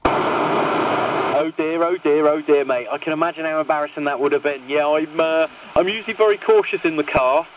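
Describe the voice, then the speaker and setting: A man's voice: fairly high pitch, moderate pace. A man chatting casually as an amateur operator over CB radio. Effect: CB radio transmission. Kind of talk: casual radio net chat. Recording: noisy, muffled CB radio signal.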